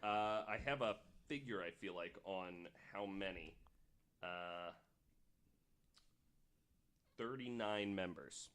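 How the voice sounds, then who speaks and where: A man hosting a podcast, explaining researched material in a casual storytelling style, with pauses between read passages. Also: low-pitched, a bit bright. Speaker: podcast host.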